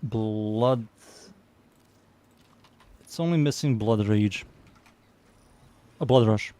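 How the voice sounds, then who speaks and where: A man's voice: on the low side, very slow, dark tone. A young man with a Serbian accent, streaming a game and chatting casually, muttering to himself and reading out viewer messages. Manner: mutters to himself, reads chat aloud, easygoing. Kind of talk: casual live-stream chatter while playing.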